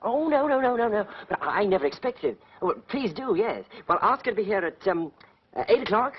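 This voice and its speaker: A man's voice: high voice, big pitch swings, fairly quick, slightly rough, full character voice. A flustered young army captain stammers and then bosses his waiter, his voice jumping up and down a lot. The old soundtrack is dull with faint hiss. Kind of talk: 1940s British film comedy acting.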